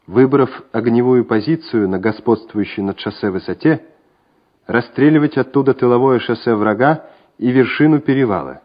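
A man narrating a wartime radio drama in a measured, solemn, scripted way. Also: medium pitch, natural voice. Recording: dull old radio recording with music.

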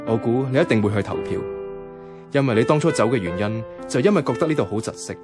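A male actor as a young Hong Kong Cantonese man telling his own story in a radio drama. He is lovelorn and introspective, with an animated, swinging delivery at middle pitch over music. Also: fast, lightly acted. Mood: reflective. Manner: colloquial, introspective. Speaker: lead narrator. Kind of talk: first-person radio drama narration.

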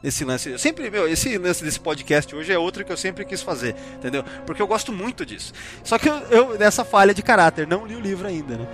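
A man's voice: sits high, lively intonation, brisk, few pauses, a bit bright, faint music behind. A Brazilian man hosting a casual panel discussion, rambling and slangy as he often interrupts himself. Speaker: podcast host.

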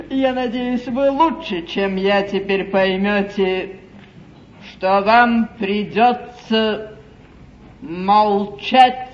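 An actress playing a cunning, haughty duchess with coolly mocking, ironically polite, theatrical delivery in a stage comedy. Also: female voice, a little high, roomy sound. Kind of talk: classical stage comedy acting. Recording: muffled, hissy 1950s theatre broadcast.

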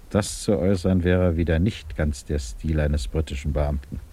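A man playing a measured, courteous British government investigator in a 1950s German radio drama, formal, deliberate and patiently probing. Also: very deep voice, mellow. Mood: calm, earnest.

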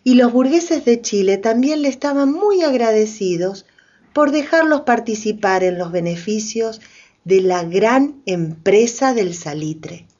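A woman's voice: a little high, lively intonation, measured pace, mellow, a little hiss or hum. A woman reading scripted narration for a radio drama. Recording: dull sound, faint music behind.

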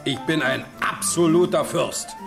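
A man's voice: a little high, measured pace, gravelly, over music. An actor in a German radio play playing an irascible, pious old Prussian king who curses and blusters imperiously. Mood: grumbling.

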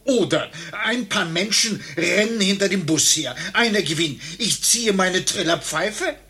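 An actor playing a touchy, grandiose former referee in a satirical radio play, declaiming theatrically. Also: male voice, sits high, animated, a little slow, pushed and bright, slightly rough, exaggerated voice. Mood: confessional, dramatic.

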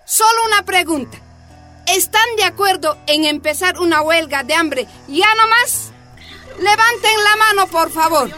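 An actress playing a determined, impatient miners' activist in a radio drama, insistent and declamatory, with a Bolivian accent. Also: female voice, very high voice, a little slow, sharp tone, soft background music, exaggerated voice. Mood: firm, commanding.

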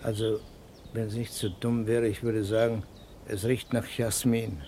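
An actor playing a shrewd, suspicious police inspector in a 1960s German radio crime drama, speaking deliberately with sardonic, insinuating questions. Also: male voice, fairly low pitch, little pitch movement, warm tone, a little hiss or hum. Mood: pensive.

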